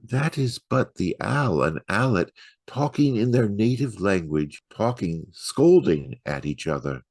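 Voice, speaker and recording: Man voicing a tender, patient old grandmother, slow-spoken. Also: fairly low pitch, big pitch swings, a little mellow, no room sound, pitched above the performer's own voice, exaggerated voice. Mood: reassuring. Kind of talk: dramatic poetry reading.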